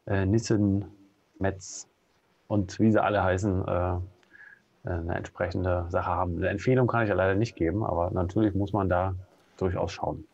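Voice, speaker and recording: A man in his thirties with a Berlin accent, co-hosting a casual live stream from home, relaxed and full of hesitations. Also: low-pitched, moderate pace, creaky, breathy edge. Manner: relaxed, many fillers and hesitations. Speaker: co-host. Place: home room with bookshelf. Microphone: video call webcam. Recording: dull lo-fi video call audio.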